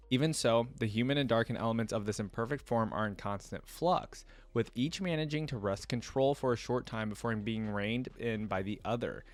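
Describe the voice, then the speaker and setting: A man's voice: on the low side, no echo. A man hosting a reaction video, reading lore aloud and musing over it in casual commentary. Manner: musing, thinking out loud.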